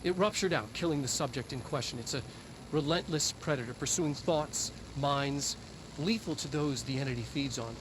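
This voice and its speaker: An actor playing a male government scientist in a game, giving a measured, grave, clinical briefing. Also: medium pitch, noisy background, natural voice. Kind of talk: scripted briefing narration.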